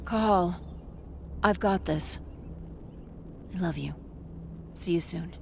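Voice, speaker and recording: A woman's voice: fairly low pitch, slow, gravelly, noisy background. A woman playing a confident, capable infiltrator in a video game, assured, reassuring and matter-of-fact. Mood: tender, determined. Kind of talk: dramatic video game acting.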